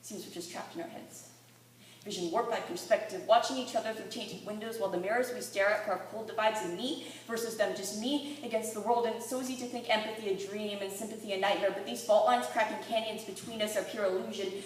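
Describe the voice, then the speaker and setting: A woman's voice: mid-range voice, fairly even intonation, quick pace. A teenage girl performing spoken-word poetry with earnest, dramatic, rhythmic delivery. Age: teens, fifteen or sixteen. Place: library room with a live audience. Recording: very echoey room, distant mic, faint noise.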